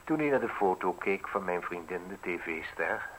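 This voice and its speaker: A man's voice: deep voice, animated, moderate pace, fairly bright tone, slight background noise, poor audio. A man acting a ruthless, mocking journalist in a radio play, articulate and insinuating as he interrogates. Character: probing, mocking, ruthless male newspaper journalist needling an official.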